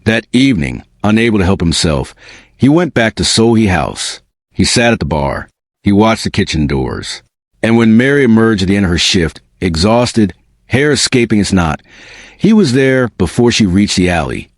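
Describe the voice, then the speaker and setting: A man narrating a romantic drama in a measured, dramatic storytelling style. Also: fairly low pitch, very animated, dry recording.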